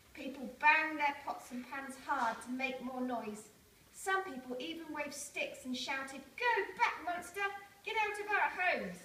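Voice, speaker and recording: A woman with a high voice tells a story to young children. She is expressive and speaks to her listeners directly. The recording is echoey, picked up at some distance with faint noise behind. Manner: expressive, addresses listeners with questions. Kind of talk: read-aloud storytelling with asides to children. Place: primary school room, reading aloud to children.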